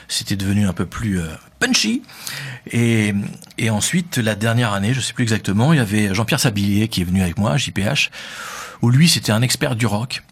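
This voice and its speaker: Man, Parisian accent, husky low voice, quick casual talk, lots of hesitations. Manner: fast, hesitant with many fillers. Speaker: radio host, interview guest. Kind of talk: conversational interview.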